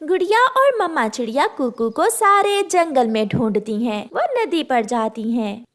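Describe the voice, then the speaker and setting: A woman's voice: sits high, big pitch swings, a little slow, a bit bright. A woman reading scripted narration for a children's cartoon story.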